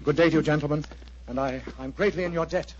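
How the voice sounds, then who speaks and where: An actor playing an agitated, earnest English clergyman, speaking in a grave, halting, formal way in 1940s radio drama style. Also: on the high side, fairly even intonation, noisy background, close to an everyday voice. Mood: uneasy, formal. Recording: muffled old radio recording, dry sound.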